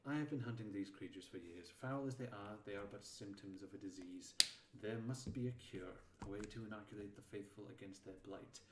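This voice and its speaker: A man reading the part of a weary, grave inquisitor in a dark sci-fi story, speaking formally and solemnly. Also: fairly low pitch, far from the mic, lightly acted. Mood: grim resolve. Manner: formal, solemn, measured phrasing. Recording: roomy echo with faint background noise.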